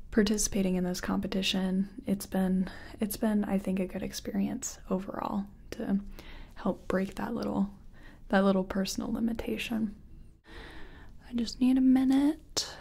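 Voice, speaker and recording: Breathy, soft-spoken woman playing a hesitant makeup artist. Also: mid-range voice. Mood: reflective, hesitant. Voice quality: breathy, whispery. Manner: hesitant, gentle, murmuring to herself. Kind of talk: soft-spoken ASMR personal-attention roleplay.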